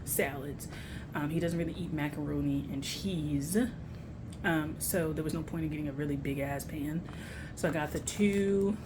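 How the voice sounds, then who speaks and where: Low-voiced young American woman, casual vlogging. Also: noisy background. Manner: candid, informal, slangy. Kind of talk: casual grocery haul chat to camera.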